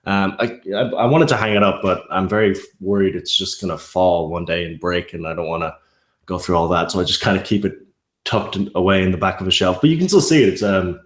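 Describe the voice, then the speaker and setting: Low-voiced man, mild Irish accent, echoey. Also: a little fast. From Ireland. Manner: rambling, many restarts and fillers. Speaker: YouTube narrator guest. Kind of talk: casual interview chat.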